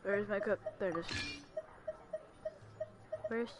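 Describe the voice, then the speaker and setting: A woman's voice: medium pitch, slow pace. A woman giving casual, informal gameplay commentary, thinking aloud as she plays. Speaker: gaming streamer. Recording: clearly noisy home recording.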